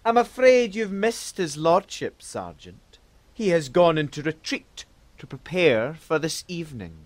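An actor playing a polite, smugly mocking manservant to a lord in an audio drama, formal and unhurried. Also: male voice, fairly high pitch, sing-song, no room sound.

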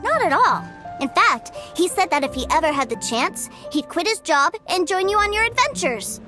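A woman's voice: extremely high pitch, normal speed, heavily acted. An eager little boy. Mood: cheerful. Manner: excited, chatty. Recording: game audio over music.

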